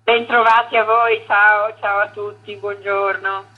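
A woman's voice: medium pitch, flat delivery, a little slow, phone-quality sound. A woman, an actress and author, answering conversationally as a radio guest in an informal, explanatory way.

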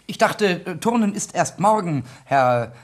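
A man's voice: sits high, expressive, a little slow. An actor playing a cheeky male grammar-school pupil in a comedic radio play, hesitant and mock-polite as he feigns innocence. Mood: mock-innocent, polite.

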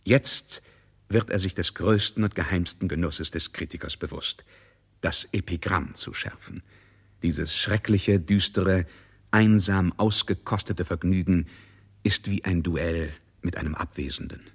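A man narrating a literary radio drama with expressive storytelling and deliberate phrasing. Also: sits low, slightly rough.

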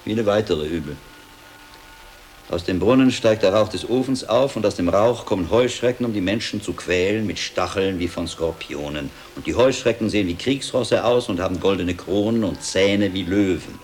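An actor playing a sharp-minded, impatient medieval scholar monk in a German radio drama, reasoning aloud with deliberate emphasis. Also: male voice, low-pitched, a little hiss or hum, lightly acted. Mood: brooding. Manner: deliberate reasoning aloud, given to exasperated outbursts.

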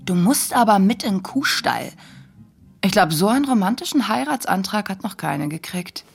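Husky, sassy teenage girl. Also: mid-range voice, animated, moderate pace. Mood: mocking, dismissive. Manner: teasing, sassy, blunt. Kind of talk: naturalistic radio play acting.

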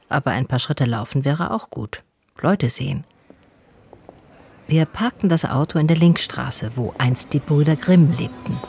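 Low-voiced, thoughtful woman narrator. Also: mellow, slight background noise. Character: calm, thoughtful female narrator and concerned friend. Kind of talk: literary first-person narration in radio drama.

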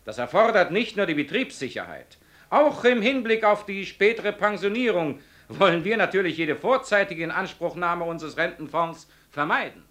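A pompous company director in a radio play. His voice is high and forceful, rising and falling in formal, reassuring phrases. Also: animated, normal speed.

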